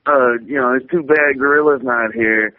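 A man's voice: medium pitch, steady tone, normal speed, no echo. Male radio caller over a phone line. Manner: friendly, loose, lots of "uh" fillers. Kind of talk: casual phone call-in.

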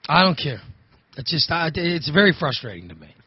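A man hosting a talk radio show, hoarse from overusing his voice, rambling and restarting his sentences. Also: fairly high pitch, big pitch swings, brisk, no room sound, highs cut off. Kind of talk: casual talk radio monologue.

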